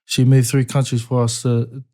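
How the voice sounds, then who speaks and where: A man from American Samoa, a guest rapper in a casual interview, laid-back, swearing a lot and breaking into laughing excitement. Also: medium pitch, normal speed.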